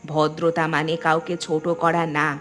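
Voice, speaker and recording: A woman's voice: low voice, completely flat delivery, moderate pace, fairly bright tone. A woman voicing a principled village woman in a cartoon, speaking in an earnest, moralizing way. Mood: reproving. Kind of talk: Bengali cartoon dialogue. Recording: music behind the voice.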